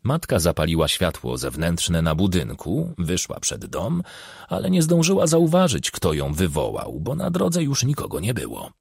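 A man's voice: low-pitched, wildly swooping pitch, normal speed, creaky, a little mellow, slightly rough, dry recording, clean studio sound. A man reading a crime novel as an audiobook narrator, telling the story expressively.

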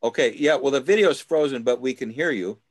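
A man leading a study group over a video call, asking questions in a halting way with many restarts. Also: mid-range voice, no room sound. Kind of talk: casual group discussion.

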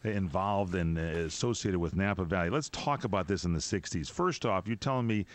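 A man's voice: sits low, brisk. A man in his forties hosting a talk radio show from a small studio, asking long, effusive questions. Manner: enthusiastic, effusive, rambling questions. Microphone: studio mic with headphones.

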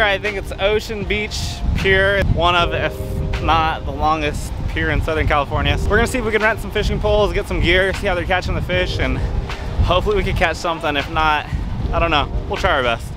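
Young American male vlogger, easygoing chat style, recorded outside on a pier with clear background noise. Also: high-pitched. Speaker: fishing vlogger. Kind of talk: casual vlog chat. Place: outdoors on an ocean fishing pier. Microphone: handheld or body-worn action camera. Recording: noisy outdoor pier with wind and water.

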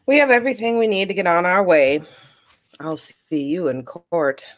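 A woman's voice: lowish voice, animated, normal speed, lightly acted. A woman playing a blunt, haughty traveling companion in a comedic live table read.

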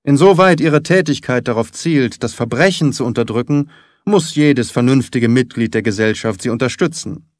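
A man's voice: mid-range voice, moderate pace, no echo, studio quality, lightly acted. A man voicing a stern, indignant doctor in an audiobook, brusque and haughty in manner. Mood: scornful. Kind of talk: audiobook character acting.

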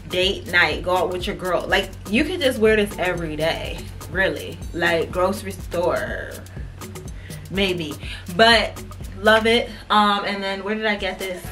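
A young woman talks her viewers through a clothing haul in an emphatic, chatty way. Her voice sits at a middle pitch, with faint music playing softly underneath. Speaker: fashion vlogger. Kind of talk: casual try-on haul chat. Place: indoors in front of a painted wood backdrop.

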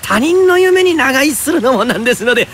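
An actor voicing an eccentric, courteous back-alley doctor with theatrical politeness in a Japanese fighting game. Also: male voice, very high-pitched, lively intonation, a little fast. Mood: playfully polite. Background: loud background music.

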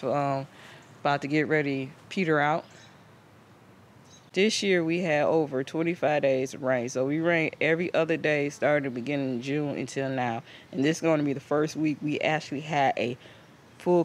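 A man giving a casual, rambling, conversational garden tour as host, recorded outdoors on a handheld camera. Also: a little high. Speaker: host of a home gardening channel. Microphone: handheld camera microphone. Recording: outdoor ambient noise on camera mic.

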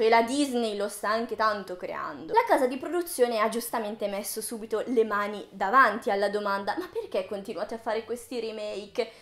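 High-voiced young woman in a roomy space. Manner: expressive, theatrical, ironic asides. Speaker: video essayist, film commentator. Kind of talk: scripted-casual film commentary to camera. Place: small room with bookshelves, indoors. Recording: roomy indoor echo.

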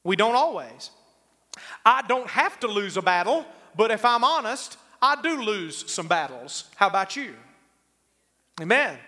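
A middle-aged man preaching a sermon as a pastor, emphatic, slowing on key phrases to drive them home. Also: sits high, big pitch swings, bright, forceful tone. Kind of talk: sermon with congregational call-and-response. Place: church sanctuary stage, indoors.

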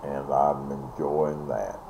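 An older man chatting casually and ramblingly, halting and repeating words, recorded on a device mic in a bedroom. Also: extremely low pitch, gravelly. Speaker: vlogger. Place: small bedroom, indoors. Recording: dull, noisy webcam audio.

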